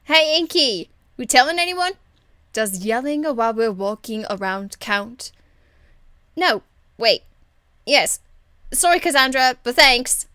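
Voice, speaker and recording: A woman voicing a cheeky, irreverent young female rogue archer in an amateur game voice demo, blunt, slangy and excitable. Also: high voice, slow, long pauses, no echo, clean studio sound, exaggerated voice. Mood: excited.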